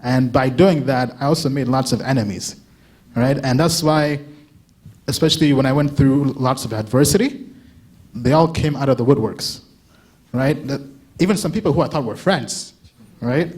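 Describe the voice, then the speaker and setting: A young man giving a casual conference talk, often checking in with a quick "right?" and restarting his sentences. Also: mid-range voice, a little mellow, husky. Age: young adult. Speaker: crypto analyst giving a talk.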